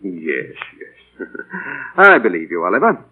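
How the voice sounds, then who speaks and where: A man's voice: low-pitched, wildly swooping pitch, slow pace, husky, full character voice. An earnest, dramatic doting father. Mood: gentle, troubled. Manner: earnest, theatrical, hesitant when moved. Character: doting, indulgent father and novelist, earnest and idealistic. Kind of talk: 1940s radio drama acting with diary narration. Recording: muffled old 1940 radio broadcast, music behind.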